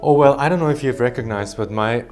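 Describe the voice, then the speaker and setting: A man in his thirties with an Austrian accent, a guest trombonist patiently explaining his instrument to children in a bright studio. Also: medium pitch, over music. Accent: Austrian German. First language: German. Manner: slow, patient explaining with light laughs. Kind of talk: friendly teaching for kids.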